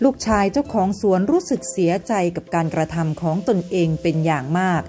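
A woman, a mother and storyteller, narrating a bedtime story for children in a gentle manner. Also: lowish voice, big pitch swings, a little fast, faint music behind. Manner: gentle, sing-song with children.

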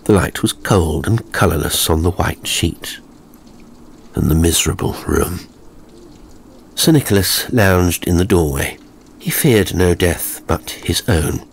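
A man narrating a horror story in a measured, theatrical storytelling manner, reading scripted literary prose. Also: low voice, a little hiss or hum.

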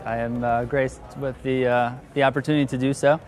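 A man in his thirties, an auction car specialist, explaining in an interview in a halting, measured way. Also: mid-range voice, flat delivery, darkish tone. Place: large tent with cars on display.

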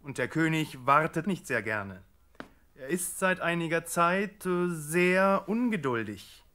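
A man's voice: on the high side, expressive, measured pace, sharp tone. An actor playing a brusque, impatient king's servant giving orders in a biblical radio play. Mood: ominous. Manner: commanding, impatient. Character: brusque, imperious male servant of a tyrant king. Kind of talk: German radio play acting.

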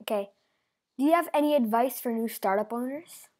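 High-voiced woman asking short questions. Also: brisk, a little mellow. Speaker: interviewer. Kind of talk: reading interview questions. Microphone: video call.

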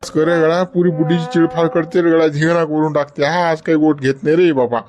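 A man's voice: a little high, flat delivery, fairly quick, darkish tone, soft background music, lightly acted. An actor playing an elderly male friend who coaxes and scolds in a Varhadi Marathi accent. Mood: coaxing. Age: older. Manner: coaxing, chiding with repeated "गड्या".

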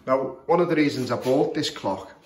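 A man's voice: medium pitch, moderate pace. A man with a Liverpool accent, a clock repairer, talking casually to camera about a clock, hesitant with many fillers and restarts. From Liverpool, England. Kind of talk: casual show-and-tell commentary. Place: room full of clocks, indoors. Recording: echoey room with faint noise.

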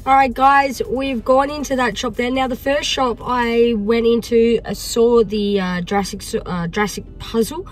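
A croaky-voiced Australian woman talks to her followers from the driver's seat, with a fairly high voice. She is chatty and upbeat and fills her talk with ums and uhs. It sounds like a phone held at arm's length in a parked car. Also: fairly even intonation, moderate pace, few pauses, soft background music, rough recording. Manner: chatty, upbeat, many fillers. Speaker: vlogger. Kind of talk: casual vlog talk to camera.

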